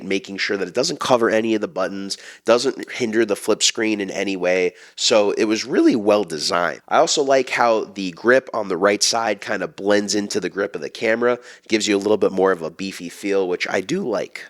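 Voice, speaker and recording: Male gear reviewer, flu-rasped voice, lowish, steady explainer. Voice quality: deeper, raspier than usual. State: raspy from the flu. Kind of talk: product review to camera.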